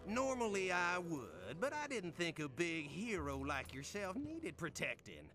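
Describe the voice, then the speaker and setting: Sneering, wildly animated prison warden. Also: male voice, on the high side, measured pace. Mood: mocking menace. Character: sneering corrupt prison warden, mock-friendly. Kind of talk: video game villain acting. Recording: game music behind the voice.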